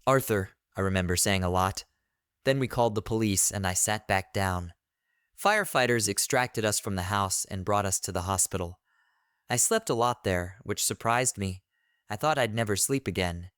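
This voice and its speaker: A man narrates a first-person horror tale with deliberate, literary phrasing. His voice sits on the low side and is smooth and clear. The recording is dry and close, like a voice-over. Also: fairly bright tone, no echo.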